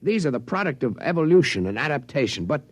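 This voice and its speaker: An actor playing a devoted visionary scientist in 1950s radio drama style, earnest and declamatory. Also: male voice, medium pitch, sing-song, quick pace, slightly rough, no echo, studio quality. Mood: earnest, intent. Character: devoted visionary male scientist of a tiny underwater race.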